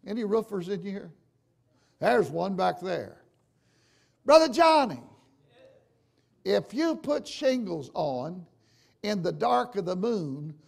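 An older man with a gravelly, raspy voice, preaching a folksy, emphatic sermon to his congregation. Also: sits high. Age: older, 60s or 70s. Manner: emphatic, folksy, addressing listeners directly with questions. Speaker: preacher, church bishop. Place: church sanctuary, at the pulpit.

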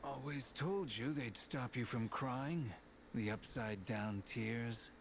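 A man's voice: medium pitch, slow, a little hiss or hum. An actor playing a cool, terse man with dry teasing in a video game drama. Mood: dry, knowing.

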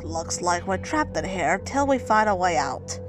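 A woman's voice: on the low side, lively intonation, a little slow. A woman voicing an irritable, nerdy male cartoon anteater in an amateur comic dub, grumbling and sarcastic, dramatic when alarmed. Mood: grumbling, resigned. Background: music.

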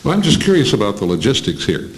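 A man's voice: lowish voice, big pitch swings, fast, low-quality recording. An American man, middle-aged or older, putting questions to a guest in a conversational panel interview. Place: indoor room, panel table.

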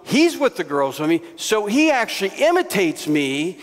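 A middle-aged man preaching a storytelling sermon on a church stage, speaking through a headset mic with animated delivery and sudden raised bursts. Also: sits high, moderate pace. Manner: animated, gestures widely, bursts into raised shouts. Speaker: pastor. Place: church stage before a congregation. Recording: long hall reverb.

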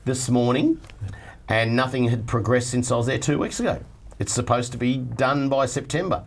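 A man's voice: mid-range voice, steady tone, normal speed, gravelly. Australian man reading mail on air. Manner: reads letters aloud, chatty and joking. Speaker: host reading viewer mail. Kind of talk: casual studio chat. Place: TV studio set at a desk.

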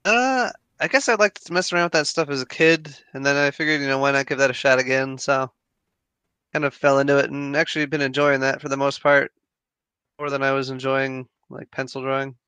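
A man's voice: medium pitch, steady tone, brisk, bright, forceful tone, clear, resonant tone, dry recording. A man chatting casually as a guest on a show about his creative hobbies. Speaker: guest sculptor and writer. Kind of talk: casual chat.

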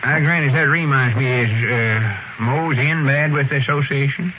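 A man's voice: mid-range voice, normal speed, close to an everyday voice. An actor playing a grumpy, self-important old country man with a rural Southern accent, folksy in classic radio comedy style. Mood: puzzled, grumbling. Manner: folksy, grumbling drawl. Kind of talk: 1930s-40s radio comedy acting. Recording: scratchy, narrow-band old radio recording.

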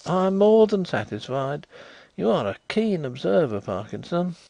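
A man voicing an excitable gentleman investigator who speaks hastily and with emphasis. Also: on the high side, unhurried, gravelly, no room sound, pitched above the performer's own voice, heavily acted. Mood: emphatic. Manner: hasty, emphatic.

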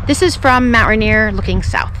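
A middle-aged woman talking casually to a handheld camera outdoors, giving relaxed, informative tips on hiking spots and road stops. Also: mid-range voice, moderate pace, noisy background. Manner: relaxed, informative tour-guide talk. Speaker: hiking vlogger. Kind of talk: casual travel vlog narration. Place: outdoors by roads, parking lots and trails. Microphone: handheld camera at arm's length.

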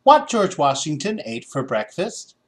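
Storybook boy, played by a man: headstrong, emphatic, high and lively. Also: unhurried. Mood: eager, curious. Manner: shouting, emphatic. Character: indignant, stubborn young boy refusing to give up.

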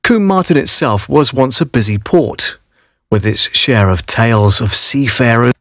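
British male voice-over, middle-aged, measured scripted storytelling read, medium pitch, dry booth sound. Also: fairly bright tone, no echo. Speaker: voice-over artist. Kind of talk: scripted documentary narration for a promotional video. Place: vocal booth. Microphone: studio condenser microphone.

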